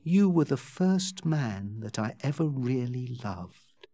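A flirtatious woman of storybook fiction , acted out by a man, speaks in a high, swooping voice. She is theatrical and coy, drawing out her words to win pity. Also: expressive, a little slow. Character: flirtatious, self-pitying adventuress Becky, a grown woman.

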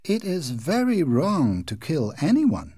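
A man doing a prim young girl who scolds in a raised, husky voice that swings wildly up and down. She speaks slowly and sternly, dry and close to the mic, in a storybook reading. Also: a little high, huge pitch swings, warm tone, dry recording, voice pushed up, full character voice. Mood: reproachful.

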